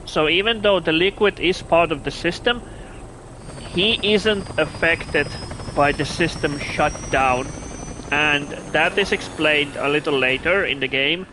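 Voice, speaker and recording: Guy streaming a game, kinda high voice, chats w viewers, restarts a lot. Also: unhurried, background noise. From Finland. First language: Russian. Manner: chatty, answers viewers' chat, restarts phrases. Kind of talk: casual gameplay commentary.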